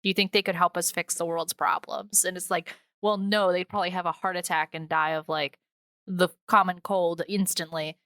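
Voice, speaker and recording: Woman, twenties, podcast co-host, bright voice, dry sound, animated, slangy, casual. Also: lowish voice, moderate pace, dry recording. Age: 20s. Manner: animated, slangy, swears freely.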